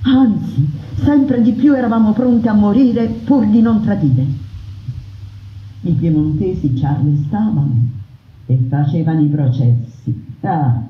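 A woman's voice: mid-range voice, a little slow, slight background noise. Impassioned woman, roomy dull radio sound. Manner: impassioned, declamatory. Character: defiant peasant brigand woman, proud, unbroken. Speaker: narrator voicing a brigand woman. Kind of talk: theatrical monologue on radio.

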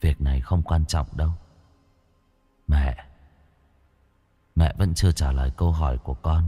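A man voicing the ghost of a young man, speaking low, hushed and eerie. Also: steady tone, moderate pace, big-room sound.

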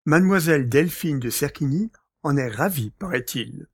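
Urbane gentleman master played by a man, husky, slightly high, dry close sound, courteous gossip. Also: animated, normal speed, dry recording. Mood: urbane, amused. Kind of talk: French audio drama acting.

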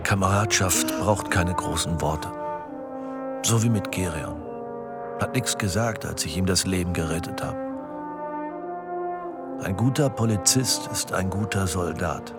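An actor playing a grave, proud war veteran police inspector in a German radio play, brooding and terse. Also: male voice, low-pitched, unhurried, long pauses, over loud music. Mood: brooding, stern.

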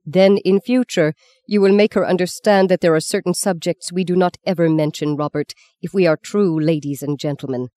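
A narrator voicing a stern, cold elderly nun with a faded Irish accent who barks out slow, clipped reproaches. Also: female voice, lowish voice, fairly even intonation, warm tone, no room sound, lightly acted. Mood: harsh. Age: 70s. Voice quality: dry, clipped. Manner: barking, slow, deliberate.

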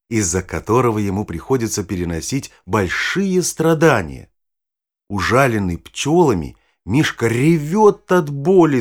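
A man playing a knowledgeable nature guide, narrating a children's audio play in a measured, expressive, explanatory way. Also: medium pitch. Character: knowledgeable guide showing children forest animals. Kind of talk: scripted storytelling narration for children.

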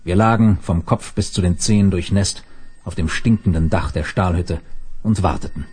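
An actor playing a sober, commanding space leader who tells his own adventure in a radio play, measured and authoritative. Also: low voice, a little mellow, slightly rough. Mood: grave, measured. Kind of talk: 1970s German radio play.

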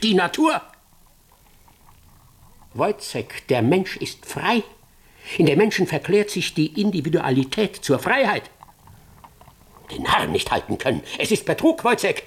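An actor playing a pompous, fanatical doctor and scientist in a German radio drama, excitable and scolding, declaiming and repeating phrases emphatically. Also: male voice, fairly high pitch, normal speed, slightly rough, exaggerated voice. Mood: outraged, declamatory.

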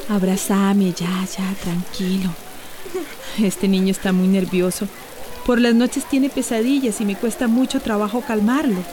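A woman's voice: medium pitch, fairly even intonation, a little slow, warm tone, natural voice. An actress playing a resolute, protective mother in a radio soap, with a Central American Spanish accent. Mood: soothing. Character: resolute, protective mother in a storm-hit town. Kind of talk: radio soap opera acting.

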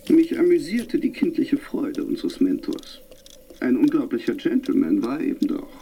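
A man's voice: medium pitch, sing-song, moderate pace, gravelly, mellow, a little hiss or hum. An actor playing a vain, urbane stage star and manor host in a radio play, theatrical and savoring his words. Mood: wry, reflective. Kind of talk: radio play acting and reflective monologue.

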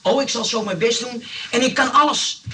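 A man's voice: very high-pitched, moderate pace, sharp tone. A man playing an eager, nervous little reformed burglar in a radio comedy. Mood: eager, earnest. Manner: eager, anxious, pleading. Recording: noisy old radio recording, distant.

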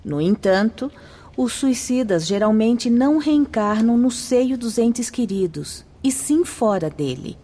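An actress with a Brazilian accent playing a solemn, kindly spirit instructor in a radio drama, explaining things formally. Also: female voice, on the high side, animated, measured pace, dark tone, breathy edge. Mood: solemn, instructive. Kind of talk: radio drama acting, didactic.

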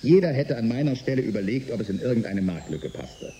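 A man playing an embittered middle-aged inventor in a German science fiction radio drama, speaking in a deliberate, wry, needling way. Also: mid-range voice, sing-song, dark tone, slight background noise. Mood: wistful, resigned. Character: embittered middle-aged male inventor turned saboteur, sardonic and resigned.

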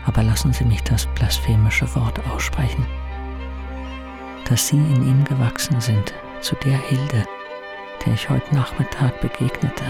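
An earnest gentleman voices his letter with formal care. He is slow and pensive with a creaky grain, in a radio drama with violin music clearly behind. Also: medium pitch, steady tone. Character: earnest, formal man composing a love letter.

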